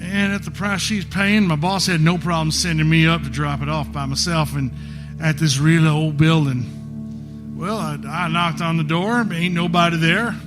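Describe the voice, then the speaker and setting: A man's voice: a little high, steady tone, moderate pace, close to an everyday voice. An actor playing a rattled, easily indignant folksy delivery man in a live audio drama, stammering and drawling out slang. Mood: wary, reluctant. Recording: live stage recording with music behind.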